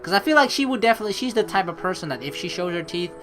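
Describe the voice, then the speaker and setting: A woman hosting a let's play, reading game narration aloud and adding chatty, rambling commentary in an expressive way. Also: medium pitch, rapid-fire, music in the background.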